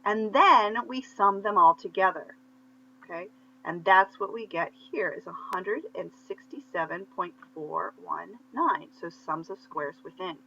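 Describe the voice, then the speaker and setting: A woman's voice: mid-range voice, lively intonation, a little slow, sharp tone. A woman lecturer explaining material step by step in a conversational teaching style, checking in with short questions as she goes. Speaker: statistics lecturer. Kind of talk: conversational instructional explanation of slides. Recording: muffled lo-fi audio with faint hum.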